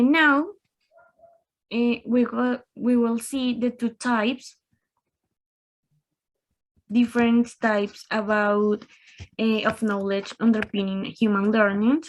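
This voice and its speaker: Young woman reading slides slowly. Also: a little high, low-quality recording. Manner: reads slides slowly with pauses. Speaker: student presenter. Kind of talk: class presentation over video call.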